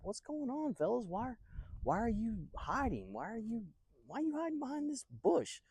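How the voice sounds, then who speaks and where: A man's voice: high voice, huge pitch swings, brisk, warm tone, slightly rough, pitched above the performer's own voice, heavily acted. A man voicing a young shepherd boy, naive and indignant, asking questions in casual slang for a Bible story. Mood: puzzled.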